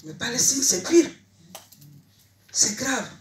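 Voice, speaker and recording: A woman from Mali making an emphatic, halting, impromptu plea at a public meeting in an echoey room, repeating her phrases. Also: fairly high pitch, very animated. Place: indoor meeting hall.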